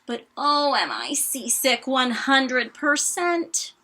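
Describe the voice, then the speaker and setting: A woman's voice: sits high, sing-song, slow pace, pushed and bright, exaggerated voice. A woman voicing a sad, long-suffering male elephant who speaks in earnest, steadfast declarations for a storybook.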